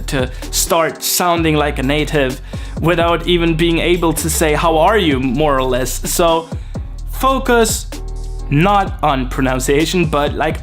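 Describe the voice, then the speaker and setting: Young man, fairly high voice, slow and rambling, soft guitar music behind. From Sweden. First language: Swedish. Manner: unhurried, rambling, many fillers and restarts. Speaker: YouTuber. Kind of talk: casual vlog talk to camera.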